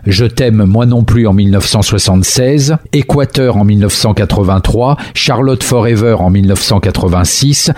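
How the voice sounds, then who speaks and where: A man with a fairly low voice narrates a documentary in a steady, measured read, moving evenly through dates and titles. The sound is dry and close, with no room around it. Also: no room sound. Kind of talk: scripted biographical narration.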